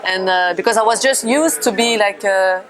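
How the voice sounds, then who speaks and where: A woman's voice: mid-range voice, normal speed, over music. A woman in her thirties from France with a French accent, speaking English as a second language and telling her life as a dancer in a hesitant way, with many fillers and restarts. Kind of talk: casual personal account.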